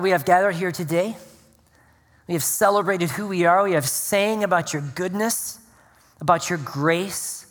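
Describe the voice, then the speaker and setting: An earnest pastor preaching to his congregation, mixing prayer and scripture reading with plain explanation. His voice sits at a middle pitch and rings out with the long reverb of a church sanctuary. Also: fairly bright tone. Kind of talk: sermon.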